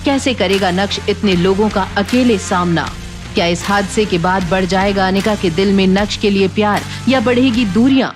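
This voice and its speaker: A woman's voice: medium pitch, moderate pace, over music. A woman narrating a scripted drama story in a dramatic storytelling style.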